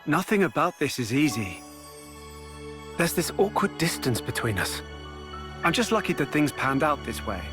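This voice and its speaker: A man's voice: fairly high pitch, sing-song, moderate pace, pauses often, music in the background. A man playing a thoughtful, level-headed resistance member in a video game cutscene. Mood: reflective.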